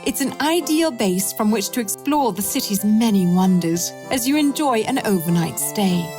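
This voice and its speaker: A woman's voice: medium pitch, animated, normal speed. A woman reading a polished, scripted promotional travel narration. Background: music.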